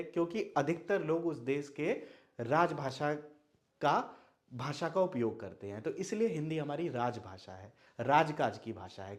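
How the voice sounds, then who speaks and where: A young man from Bihar whose first language is Maithili, teaching an online Hindi lesson. He asks questions and then answers them himself. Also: a little high. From Madhubani, Bihar, India. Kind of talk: explanatory video lesson.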